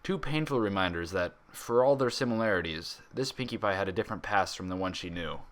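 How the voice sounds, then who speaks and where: A man reading a story aloud as an expressive narrator. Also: sits low, a little fast. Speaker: fan fiction reader.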